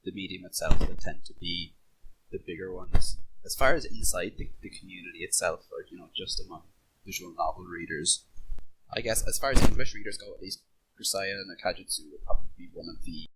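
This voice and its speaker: A man's voice: sits low, a little fast, noisy background. A man chatting casually on a podcast panel, often repeating himself as he gathers his thoughts.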